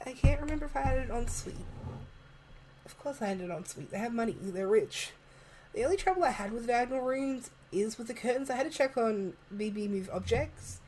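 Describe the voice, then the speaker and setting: Woman, soft chatty voice-over, a bit hoarse, faint hiss. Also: a little high, poor audio. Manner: soft-spoken, chatty, laughs often. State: losing her voice. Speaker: video creator narrating a game build. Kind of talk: casual voice-over chat. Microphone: home desk microphone, moved closer partway.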